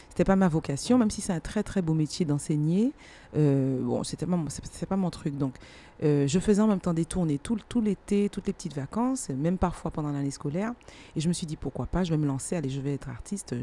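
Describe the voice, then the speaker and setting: Martinican woman, mellow voice, emphatic talker. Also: sits low. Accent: Martinican French. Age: middle-aged. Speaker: singer and musician interviewed as guest. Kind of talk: casual spoken interview, telling own career story.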